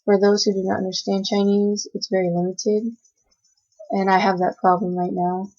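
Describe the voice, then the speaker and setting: A woman's voice: mid-range voice, little pitch movement, normal speed, a little mellow. A young woman from the United States who grew up speaking Cantonese first, giving a class oral presentation and reading from notes with frequent hesitations. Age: young adult. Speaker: student presenter. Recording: dull lo-fi recording.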